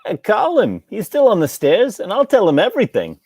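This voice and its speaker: A woman's voice: mid-range voice, huge pitch swings, brisk, a little mellow, breathy edge, no room sound, studio quality, heavily acted. A woman reading the part of a jealous, lovesick, talkative male barber in a stage comedy table read. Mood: jealous, intense.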